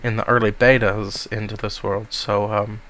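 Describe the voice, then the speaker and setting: A man with a cold giving a casual, rambling tour of a game world, speaking softly to spare his voice. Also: on the low side, level tone, moderate pace. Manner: rambling, many ums, soft-spoken to spare his voice. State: has a cold. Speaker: gamer giving a server tour.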